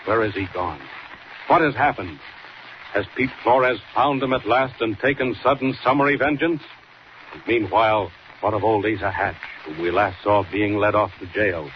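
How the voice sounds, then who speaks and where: A man's voice: lowish voice, moderate pace. A man narrating a 1940s radio serial as its announcer, in dramatic style. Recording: muffled, noisy old radio recording.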